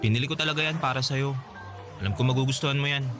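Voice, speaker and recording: A man's voice: medium pitch, steady tone, racing, music in the background, close to an everyday voice. A man playing an earnest, lovesick teenage schoolboy in a radio drama, pleading and insistent. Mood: eager, tender. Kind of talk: Tagalog radio drama acting.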